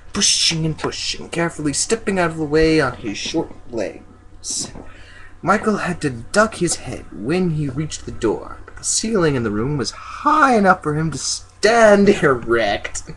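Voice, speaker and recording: A man's voice: a little high, a bit bright, a little hiss or hum, low-quality recording. A man doing an amateur dramatic story reading in a goofy, theatrical manner. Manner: goofy, theatrical, breaks off into joking asides. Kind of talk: dramatic fan fiction reading.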